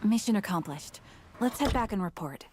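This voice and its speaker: A woman's voice: medium pitch, animated, moderate pace, natural voice. An actress playing a composed, dutiful female sniper soldier with a playful side in a game trailer. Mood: composed, matter-of-fact. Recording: loud music and vehicle noise behind.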